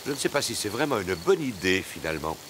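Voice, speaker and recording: A man's voice: low-pitched, sing-song, fast talker, noisy background. A man voicing a jolly, self-satisfied father pig in a French cartoon dub, hearty and chuckling. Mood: jovial.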